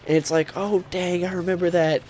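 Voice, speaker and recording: A young man with a stuffed-up, nasal voice from a cold talks a little high for a man, rambling through a casual comic review. He keeps stopping to restart his sentences and fills gaps with ums and uhs. A faint hiss of noise sits under the recording. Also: little pitch movement, fairly quick, darkish tone. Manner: rambling, with frequent fillers and restarts.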